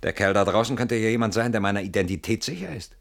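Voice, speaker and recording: A man's voice: fairly low pitch, fast, vocal fry. An actor playing an anxious, brooding man in a German radio play, defensive and articulate, turning things over with theatrical irony. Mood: brooding, analytical.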